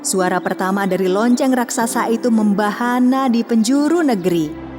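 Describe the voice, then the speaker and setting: A woman narrating a children's cartoon fairy tale in a calm, measured storytelling voice. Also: a little high. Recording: long reverb with music behind.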